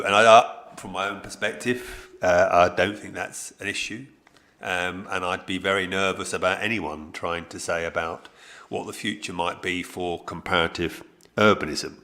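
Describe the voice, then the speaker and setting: A man reflecting on an academic conference as a panelist, starting haltingly with many fillers. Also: deep voice, fairly bright tone. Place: conference hall.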